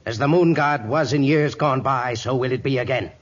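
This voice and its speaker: Man acting a menacing, fanatical jungle high priest, muffled. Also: normal speed, close to an everyday voice. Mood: defiant, menacing. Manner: menacing, formal, pronouncing. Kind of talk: 1950s radio drama acting. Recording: muffled old radio recording.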